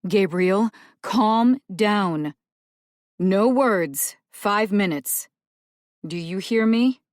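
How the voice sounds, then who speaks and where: Woman doing a calm caring woman, soft and firm, low voice, slow, dry close sound. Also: breathy edge, no room sound. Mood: soothing.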